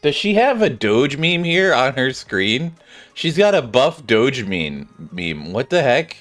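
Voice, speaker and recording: A man's voice: mid-range voice, sing-song, takes it slowly, fairly bright tone, soft background music. A man streaming a video game, giving casual, rambling commentary as he reacts aloud.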